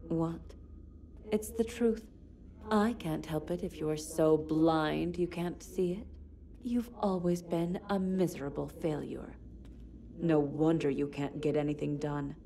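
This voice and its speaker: A woman voicing a cruel, taunting younger sister in a horror game, mocking and sneering with drawn-out teasing. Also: low voice, vocal fry, dark tone, full character voice. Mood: mocking, contemptuous.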